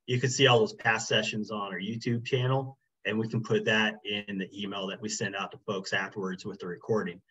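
A middle-aged man presenting a birding workshop over a video call, relaxed and casual, with many fillers like um and you know. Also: on the low side, brisk, hardly any pauses, breathy edge. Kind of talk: casual online workshop talk. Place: small room indoors. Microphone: video call webcam. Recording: slightly muffled video call audio.

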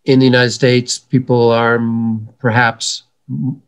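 Earnest middle-aged man explaining calmly. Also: mid-range voice, unhurried, clean tone. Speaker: environmental educator guest. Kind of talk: interview answers. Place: home room. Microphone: video call.

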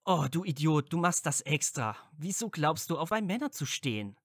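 A man's voice: on the high side, sing-song, normal speed, dry recording, studio quality. A man playing an earnest, loyal, easily flustered young wizard friend in an audio drama. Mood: flustered, curious. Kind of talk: fan fiction audio drama dialogue.

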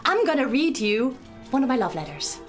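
A woman as a vain, gushing puppet maiden, high-voiced. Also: big pitch swings. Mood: swooning. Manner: gushing, drawn-out, sing-song. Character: vain, giggly maiden sister, boastful about her lovers. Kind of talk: theatrical live puppet-show comedy. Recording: music playing behind the voice.

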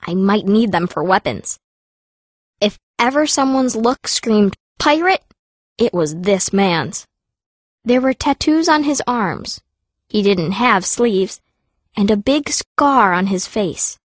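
A woman's voice: medium pitch, lively intonation, takes it slowly, no room sound. A woman playing a fierce, wry teenage girl mage, narrating her own story in an audiobook. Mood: wry storytelling. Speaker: first-person narrator.

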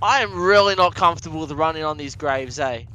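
A man's voice: on the high side, brisk, pushed and bright. A man with a New Zealand accent, nervous and joking while gaming with friends over a noisy line. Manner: nervous, jokey. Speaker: friend and co-player. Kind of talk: casual gaming chat.

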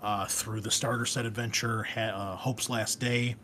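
A man's voice: on the low side, level tone, moderate pace. A man hosting a casual introduction, talking in an enthusiastic, rambling way as a game master. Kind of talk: casual introduction talk to listeners. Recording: clear background noise.

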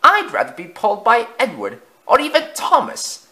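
A man doing shrill, complaining old coaches. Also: huge pitch swings, moderate pace, bright, forceful tone, exaggerated voice. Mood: offended. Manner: high, wailing complaint. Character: old, grumbling passenger coaches.

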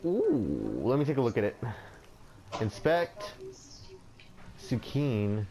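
A man's voice: mid-range voice, wildly swooping pitch, takes it slowly, mellow. A young man chatting in an online game, teasing and swearing, laughing a lot. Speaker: gamer in party chat. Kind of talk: casual gaming banter.

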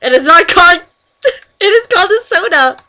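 A woman's voice: very high-pitched, animated, brisk, pushed and bright. A young Canadian woman on a headset mic in her bedroom, chatting casually through a list of words and questions, often giggling. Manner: giggly, chatty, breaks off to scold friends on a call. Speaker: vlogger doing an accent meme. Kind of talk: casual webcam vlog. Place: small bedroom indoors. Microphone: headset microphone.

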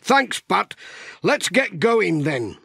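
A dogged hill farmer, played by a male narrator, plain-spoken and a bit weary. The voice is pitched up high and swings about, in a children's audiobook. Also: sing-song, slow pace, creaky, fairly bright tone, breathy edge, dry recording, studio quality, full character voice. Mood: plain, worried.

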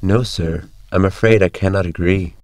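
A man's voice: low voice, moderate pace, a little mellow, no room sound, close to an everyday voice. A man playing a prim, long-suffering spaceship crewman, formal and dry, in a science fiction comedy audio drama. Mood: calmly firm.

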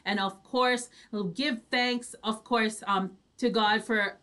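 A woman's voice: mid-range voice, steady tone, slow pace, sharp tone. A woman hosting a show in a casual, instructive way. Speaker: radio show host. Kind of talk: casual chat, reading out instructions. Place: small indoor home studio, video call.

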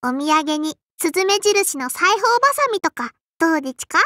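A little sparrow girl who runs an inn and wields a sword in an anime mobile game. Her voice is extremely high, bright and childlike, with a soft lisp. She speaks politely and primly, strict and dutiful, in a dry, close recording. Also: big pitch swings, moderate pace, no echo, exaggerated voice. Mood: prim, cheerful. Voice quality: childlike, lisping. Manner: polite and prim, with a cutesy verbal tic. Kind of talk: Japanese anime mobile game character voice lines.

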